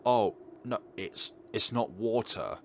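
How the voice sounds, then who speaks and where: A man's voice: on the low side, slow pace, slight background noise. A man hosting a game playthrough, reading story text aloud, acting out dialogue and adding his own commentary. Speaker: gaming video host. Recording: loud music behind the voice. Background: game music.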